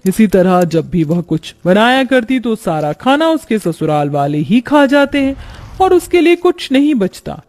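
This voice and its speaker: High-pitched male cartoon story narrator. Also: sing-song, unhurried, darkish tone.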